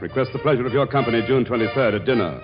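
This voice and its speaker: A man's voice: medium pitch, racing, lightly acted. An actor playing a formal man reading out a society invitation in a declaiming 1940s radio style. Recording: muffled 1940s radio recording. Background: loud violin music.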